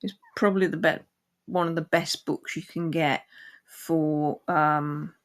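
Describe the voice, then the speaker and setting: A woman with a very low-pitched voice, sharing poetry and book talk. She speaks very slowly and informally, as a reader among friends. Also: fairly even intonation. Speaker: guest poetry reader and discussant. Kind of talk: poem reading and informal book chat.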